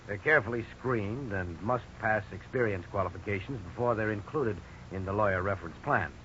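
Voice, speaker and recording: Businesslike legal official in old radio show, polite, quick, sharp voice, noisy old recording. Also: male voice, on the low side, dull audio. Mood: courteous, businesslike. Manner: formal, precise, businesslike. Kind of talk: 1950s radio drama acting.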